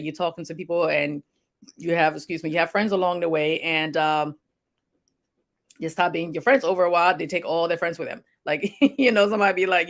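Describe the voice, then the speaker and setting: A woman in her forties with a Liberian accent, a healthcare provider chatting casually to her page's followers, rambling and repeating herself. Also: on the low side. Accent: Liberian English. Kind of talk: casual anniversary livestream talk.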